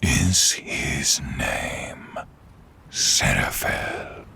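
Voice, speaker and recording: A man playing a menacing, bitter dark sorcerer king risen from peasantry, with a gravelly half-whispered rasp and grandiose, taunting delivery in a fantasy audio drama. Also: rumbling low, sing-song, a little hiss or hum, exaggerated voice. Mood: brooding, proud. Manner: slow, theatrical, taunting delivery. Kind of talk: fantasy audio roleplay acting.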